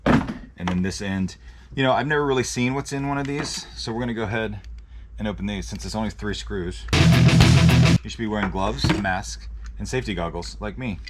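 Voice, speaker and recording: A man's voice: on the low side, fairly quick, loud music behind the voice. A man hosting a hands-on teardown video, talking to the camera in a casual, plain-spoken, explanatory way. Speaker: host of an e-waste teardown video. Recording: clear background noise with handling clicks.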